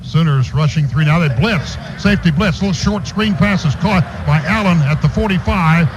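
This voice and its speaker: A man's voice: fairly high pitch, moderate pace. Male play-by-play announcer over heavy noise. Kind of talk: live sports commentary with sponsor reads. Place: stadium radio booth. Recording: noisy dull radio broadcast from stadium.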